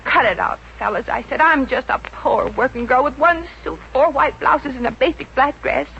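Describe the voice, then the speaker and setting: A woman's voice: high-pitched, normal speed, creaky, bright, forceful tone, a little hiss or hum, full character voice. Wisecracking working girl, muffled old radio. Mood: glib, bluffing. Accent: American. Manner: sardonic, breezy wisecracking. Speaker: lead actress in radio comedy. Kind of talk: 1950s American radio comedy acting.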